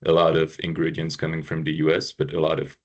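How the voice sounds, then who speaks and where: A man's voice: a bass voice, completely flat delivery, fast talker, muffled recording. A man from Quebec with a French accent, giving an informative webinar presentation with frequent ums and uhs. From Quebec, Canada. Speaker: trade consultant presenting.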